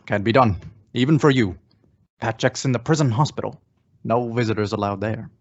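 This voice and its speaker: The sound is dull and band-limited, as in a homemade radio drama. A man acts out a prison warden worn down by his job. He is gloomy and blunt, with a mid-pitched voice. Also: normal speed, natural voice. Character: gloomy, overworked prison warden, weary of the system. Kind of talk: old-time radio drama acting. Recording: muffled, band-limited audio.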